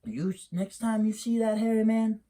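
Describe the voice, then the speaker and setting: A man's voice: high voice, fairly even intonation, moderate pace, dark tone, voice pushed up, natural voice. A man doing a stern old country mother.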